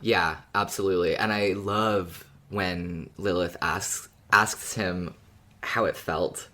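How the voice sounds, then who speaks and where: A man's voice: sits low, steady tone, slow pace, bright, forceful tone. A man co-hosting a podcast in casual chat, speaking in an agreeable, supportive way. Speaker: podcast co-host.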